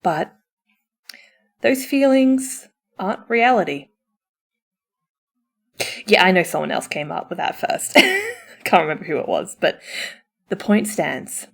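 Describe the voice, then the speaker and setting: A woman's voice: on the low side, very animated, slow, vocal fry, long pauses. A woman speaking as a supportive friend in a comforting roleplay, chatty and informal, with a theatrical, encouraging manner. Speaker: audio roleplay performer playing a supportive friend. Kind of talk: comforting roleplay, chatty pep talk to the listener.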